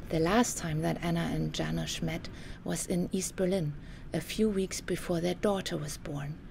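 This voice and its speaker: A woman narrating a scripted documentary story in a measured, carefully enunciated way. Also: on the low side, steady tone, vocal fry, a little mellow, slight background noise.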